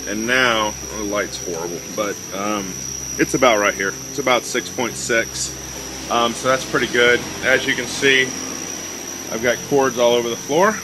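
A man giving a casual, rambling video update on his fish tanks, with lots of fillers. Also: lowish voice. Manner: relaxed, rambling with many fillers. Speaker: aquaponics hobby farmer. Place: indoor grow room with fish tanks, briefly outdoors. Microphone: phone camera held while filming. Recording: noisy phone recording with pump hum.

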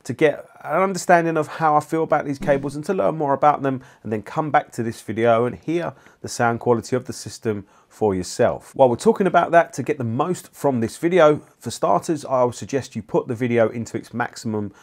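A man's voice: mid-range voice, brisk, few pauses. A man in his forties presenting a review video as host, speaking into a lapel mic in a small treated studio. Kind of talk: scripted-sounding video presenting to camera. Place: small indoor studio room with acoustic panels.

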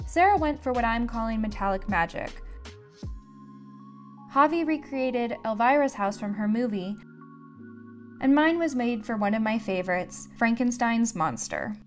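A woman's voice: on the high side, animated, unhurried, soft background music. A young woman narrating her travel and crafting vlog in a voice-over, enthusiastic and gushing. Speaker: vlogger.

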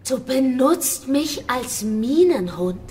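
A woman playing a fierce, distrustful fighter in a radio drama, sharp and defiant. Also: sits high, big pitch swings, takes it slowly, a little hiss or hum, exaggerated voice. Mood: accusing. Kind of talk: German radio drama acting. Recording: long reverberant hall.

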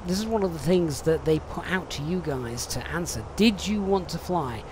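A man's voice: on the high side, lively intonation, normal speed. Male streamer, casual live commentary, lots of fillers, noisy background. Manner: chatty, reads viewer questions aloud with many fillers. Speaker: flight sim streamer.

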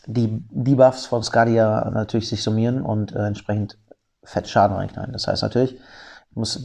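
A man with a slightly low, gravelly voice and a cold talks through a mobile puzzle battle. His tone is dark and mellow, and he explains each move analytically, pausing with fillers as he thinks it over. It sounds like a home desktop microphone. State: has a cold. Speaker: gameplay commentator. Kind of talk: gameplay commentary.

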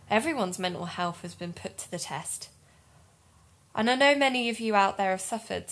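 Investigative podcast host for audio drama, female actor, earnest, supportive, medium pitch. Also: natural voice.

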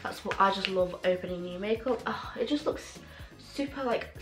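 A young woman reviewing makeup in a casual vlog, excitable and full of fillers, her voice a little rough from a cold and sore throat. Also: medium pitch, moderate pace. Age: young adult. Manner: gushing, excitable, many fillers. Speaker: beauty vlogger. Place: small room at home, indoors. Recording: echoey room with faint music.

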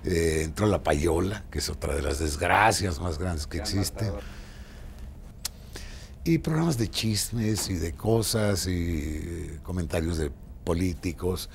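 A man's voice: low-pitched, takes it slowly, creaky, a little hiss or hum. An older man with a Mexican accent, giving his opinions in a documentary interview.

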